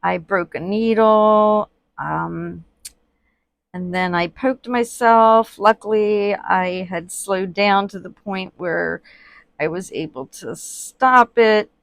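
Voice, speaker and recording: A woman chatting casually while working at a table, with many fillers, softly murmuring and sniffling a little from allergies. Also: medium pitch, slow pace, vocal fry, no echo. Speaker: reborn doll artist. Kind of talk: casual crafting tutorial vlog. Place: indoors at a worktable.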